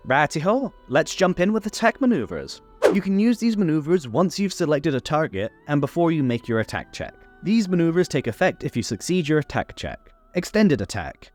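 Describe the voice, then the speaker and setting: A man's voice: medium pitch, darkish tone. A man hosting a tabletop game rules series, delivering scripted explainer narration in an instructional way. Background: background music.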